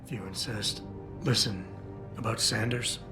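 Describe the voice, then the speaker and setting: A terse, guarded security chief speaks in a low, dry rasp with clipped lines. He sounds like a character in a story-driven video game. Music runs under his voice. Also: a little slow, sharp tone. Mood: steady.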